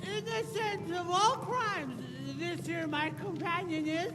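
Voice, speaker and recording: A woman voicing a flustered video game companion who stammers through grand, rambling speeches. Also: very high-pitched. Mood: earnest, rambling. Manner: stammering, halting, grandly wordy. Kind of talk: video game character dialogue. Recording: distant, echoing game audio under loud music.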